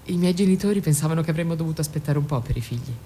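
Low-voiced distraught mother, played by an actress, noisy recording. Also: very fast, warm tone, close to an everyday voice. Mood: wistful, earnest. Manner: agitated, insistent, repeats herself. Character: distraught devoted mother, defensive, pleading, grieving. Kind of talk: theatrical radio drama acting.

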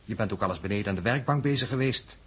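Dry pedantic scientist, precise, formal, sardonic, very unclear vintage radio sound. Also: male voice, lowish voice, racing, fairly bright tone, slightly rough. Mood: sardonic, probing. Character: dry, pedantic, logic-bound scientist on a spaceship. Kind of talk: 1960s radio drama acting. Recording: muffled, indistinct old radio recording.

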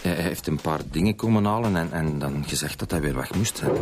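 Deep-voiced, stammering, nervous Flemish father. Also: fairly quick, background noise. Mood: nervous, apologetic. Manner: stammering, repeats words. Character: nervous, apologetic, worried middle-aged father. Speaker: actor in a radio serial. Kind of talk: radio drama acting.

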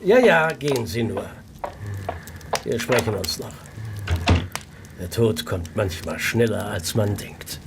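Slow, insinuating, menacing gentleman. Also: on the low side, lively intonation, heavy background noise. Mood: veiled threat. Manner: slow, drawling, suggestive delivery. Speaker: actor in an audio drama. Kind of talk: German radio play acting.

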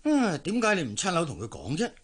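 A man's voice: high-pitched, wildly swooping pitch, fast, a bit bright, breathy edge, exaggerated voice. An actor playing a kindly, fatherly older man in a Cantonese radio drama, with a Hong Kong accent and a sighing, concerned way of talking.

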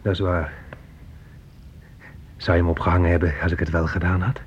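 A man's voice: sits low, little pitch movement, brisk, a little hiss or hum, close to an everyday voice. An actor playing a menacing, bitter grown son who taunts and bosses his mother around in a radio play. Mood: sardonic. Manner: taunting, bossy, mock-friendly. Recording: muffled old radio play recording.

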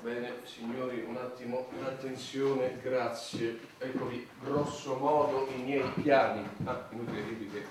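An actor playing a pompous, self-assured president in satirical radio theatre, with a smug, declamatory delivery. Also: male voice, medium pitch, steady tone, measured pace, few pauses, natural voice. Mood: brisk, official. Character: pompous male president of a warmongering state. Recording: very echoey, distant microphone, faint noise.

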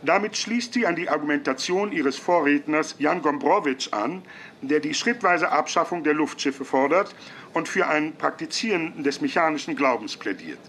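A man's voice: fairly high pitch, expressive, normal speed, a bit bright. A man playing a news reporter who delivers formal, factual reports in a radio play. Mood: official. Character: male news reporter relaying committee speeches. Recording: faint crowd and noise behind.

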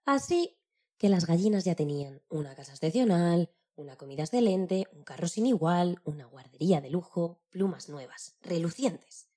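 A woman narrating a children's story in an expressive storytelling style. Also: lowish voice, normal speed, breathy edge.

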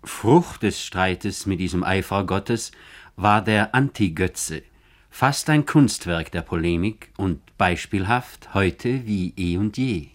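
Male narrator for a literary radio biography: lowish voice, measured, formal scripted read.

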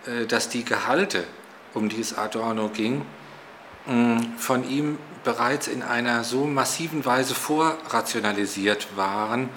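A man speaks as an interviewed author in a medium-pitched voice. His manner is analytical and measured, qualifying each point, with faint ambient noise behind him. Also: fairly bright tone.

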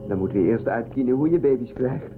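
A man's voice: medium pitch, animated, fast, natural voice. A man in a radio play acting a sober, sceptical and blunt spaceship crewman. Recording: tinny phone-like narrowband audio. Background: music.

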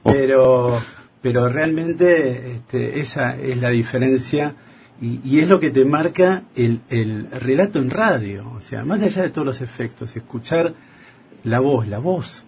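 An Argentine man with a mid-pitched voice chats as a radio guest. He speaks effusively and circles back on his words. A faint noise sits behind him in the broadcast. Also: measured pace, gravelly, a little mellow. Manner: effusive, repeats himself. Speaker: radio drama writer and guest. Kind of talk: casual radio chat.

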